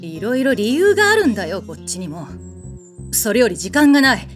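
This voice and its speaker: A woman playing a haughty, short-tempered goddess in a comedy audio drama, brusque and imperious in rough phrasing. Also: on the high side, huge pitch swings, a little fast, faint music behind.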